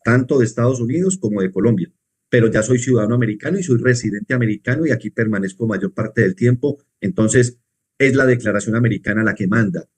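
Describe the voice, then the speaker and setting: Man calmly explaining tax rules. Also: medium pitch, slightly rough. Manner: measured, poses and answers his own questions. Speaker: tax advisor. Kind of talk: explanatory conversation.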